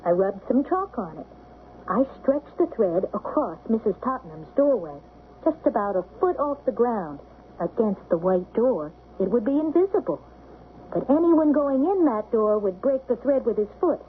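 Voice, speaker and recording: A woman's voice: a little high, very animated, normal speed. A woman playing a sheriff's keen, observant young daughter with an American accent, earnest and articulate, in radio drama style. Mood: assured. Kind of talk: radio drama acting with narration. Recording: muffled, noisy old radio broadcast.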